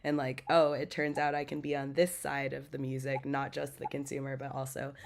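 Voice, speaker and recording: A woman's voice: extremely low pitch, monotone, fairly quick, vocal fry. Chatty young woman, metal vocalist. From United States. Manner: chatty, many "like" and "um" fillers. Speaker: metal band vocalist, podcast guest. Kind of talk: casual interview chat.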